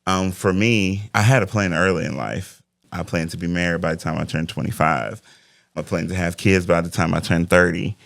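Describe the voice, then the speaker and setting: Forties male life coach, very low gravelly voice, dry close sound, thoughtful and faith-driven. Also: steady tone, moderate pace, fairly bright tone, no echo. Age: 40s. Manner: reflective, faith-driven talk. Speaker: interview guest, life coach. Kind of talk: casual interview conversation.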